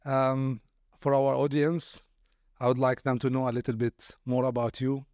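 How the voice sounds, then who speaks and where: A man's voice: mid-range voice, level tone, moderate pace, a little mellow, no echo, studio quality. A man hosting a podcast interview, courteous, often repeating words as he searches for phrasing. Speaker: podcast host.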